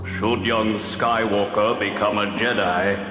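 Casting: grave evil Emperor, unhurried low delivery, heavy reverb, read-along drama. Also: male voice, level tone. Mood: grave warning. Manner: slow, solemn. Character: sinister, grave galactic Emperor giving warning. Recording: long echoing reverb over music.

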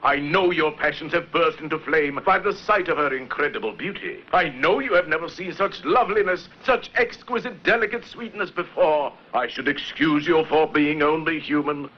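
Man acting a high-voiced, melodramatic jealous lover. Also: lively intonation, normal speed, slight background noise, lightly acted. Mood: lovestruck, suspicious. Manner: florid, theatrical, impassioned declaiming. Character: jealous, melodramatic middle-aged male knife-thrower, passionately lovesick. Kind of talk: 1960s cartoon voice acting. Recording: dull lo-fi old VHS cartoon soundtrack.

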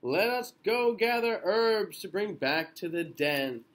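A man voicing a brisk, helpful raven from a children's storybook, emphatic as it rallies its friends. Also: high-pitched, lively intonation, fairly bright tone, full character voice. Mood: urging.

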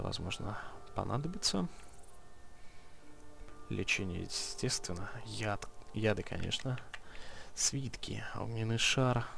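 A man's voice: lowish voice, gravelly, fairly bright tone, a little hiss or hum. A man giving casual gameplay commentary, muttering item names and making dry, sarcastic asides. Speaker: let's play host. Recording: voice over game music.

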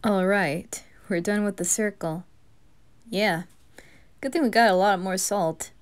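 A woman roleplays anime dialogue in an amateur style. Her pitch is average for a woman. She takes the lines at a slow pace. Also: clear, resonant tone.

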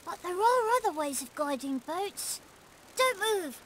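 A high, patient cartoon bunny boy. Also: animated, measured pace, a bit bright, slight background noise, heavily acted. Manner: patient, explaining step by step. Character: young male rabbit, calm and helpful teacher. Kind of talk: children's cartoon voice acting.

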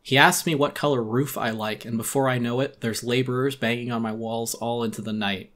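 A man's voice: mid-range voice, steady tone, a little fast, a bit bright. Brooding male audiobook narrator. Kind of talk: dramatic audiobook narration, first-person horror story.

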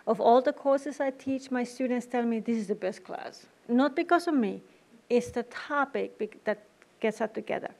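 A woman's voice: on the high side, moderate pace, creaky, darkish tone. A middle-aged woman from Finland with a Finnish accent, giving a conversational university lecture at a podium, with wry asides. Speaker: guest lecturer. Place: large auditorium at a podium. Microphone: podium microphone.

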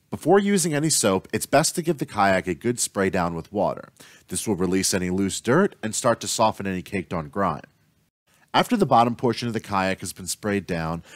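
A young adult man giving a steady, scripted tutorial voice-over as the host of an outdoors channel. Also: fairly low pitch, no room sound. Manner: steady instructional delivery.

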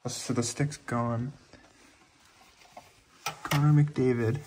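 A man's voice: mid-range voice, steady tone, takes it slowly, a little mellow. Casual man exploring, noisy handheld recording. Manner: informal, awed, mildly sweary. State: getting over a cold with a lingering cough. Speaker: urban exploration vlogger. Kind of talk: casual walkthrough commentary. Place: inside empty rooms of a large abandoned house.

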